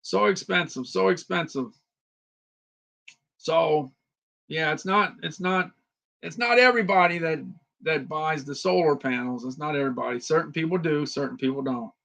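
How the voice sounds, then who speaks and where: A man's voice: a little high, moderate pace, creaky, a bit bright. A man hosting a casual live stream about deals, repeating phrases and talking to viewers by name, his voice slightly worn. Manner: repetitive, chatty, talks to viewers by name. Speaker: livestream host reviewing deals. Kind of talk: casual live product chat.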